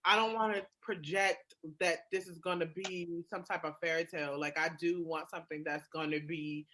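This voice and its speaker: A woman's voice: lowish voice, fairly even intonation, moderate pace, pushed and bright. A woman co-hosting a podcast in candid casual chat, with an informal, emphatic, colloquial way of talking. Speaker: podcast co-host.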